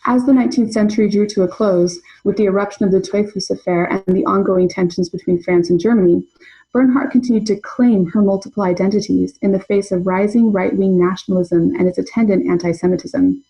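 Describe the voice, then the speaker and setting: A young adult woman presenting an academic paper in a measured, scholarly way. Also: mid-range voice, fairly even intonation, dark tone, low-quality recording. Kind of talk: reading a prepared conference paper.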